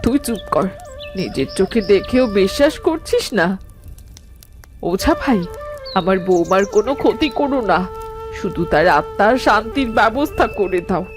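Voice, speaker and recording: A woman plays a caring old village mother in a cartoon. Her medium-pitched voice is tender and fretful, with soft music underneath. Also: big pitch swings, moderate pace, lightly acted. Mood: alarmed. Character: kindly, anxious elderly village mother-in-law. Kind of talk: animated cartoon voice acting.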